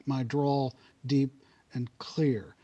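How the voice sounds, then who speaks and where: Man, middle-aged author, steady deliberate reading, middle-pitched voice. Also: breathy edge, muffled recording. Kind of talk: literary reading then conversation.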